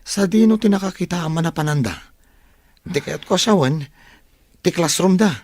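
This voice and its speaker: An actor plays an eager young student buddy in an Ilocano radio drama. He has a fairly high male voice with a noticeable creaky rasp and a helpful, loyal manner. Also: normal speed, darkish tone. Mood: curious.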